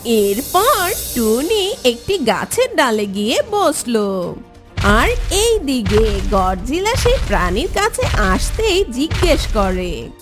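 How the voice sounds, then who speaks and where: A woman's voice: a little high, big pitch swings, slow pace, music in the background. A woman narrating a scripted children's cartoon story.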